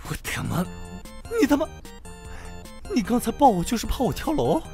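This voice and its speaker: A cocky high school boy, acted by a young man for an audio drama. His delivery is hugely animated, sliding between teasing and exasperation. Faint music plays behind the voice. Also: normal speed. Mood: exasperated, loud. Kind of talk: Chinese audio drama acting. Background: background music.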